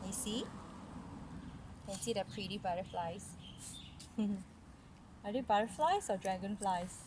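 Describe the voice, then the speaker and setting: A woman's voice: medium pitch, a little slow, background noise. A mother reading a children's story aloud, breaking off for casual explanations and questions to her child.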